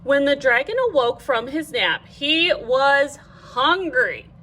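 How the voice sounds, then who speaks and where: A woman reading a children's storybook aloud like a teacher, in an animated, clearly phrased way. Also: sits high, slow.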